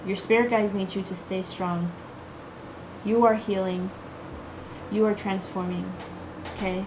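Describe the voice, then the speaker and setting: A woman's voice: mid-range voice, fairly even intonation, measured pace, noisy background. A woman giving a casual tarot card reading to her viewers. She talks in a reassuring, chatty way, full of fillers and repeated "okay?" checks. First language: English.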